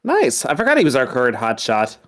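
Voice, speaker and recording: A man's voice: mid-range voice, wildly swooping pitch, a little fast. A man co-commentating on a stream in casual chat, agreeable and a little hesitant.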